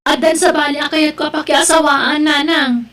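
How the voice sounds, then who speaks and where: A woman plays a discontented young mother in an audio drama. Her voice sits high, and she speaks in a curt, complaining way, stubborn and defiant. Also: steady tone, moderate pace, fairly bright tone. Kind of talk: Ilocano audio drama acting.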